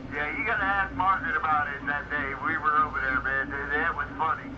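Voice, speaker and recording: A man's voice: on the high side, fairly even intonation, fast talker. A man, a radio operator telling stories and chatting casually over CB. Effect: CB radio transmission. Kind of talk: casual CB radio storytelling and chat. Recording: muffled, very noisy CB radio reception.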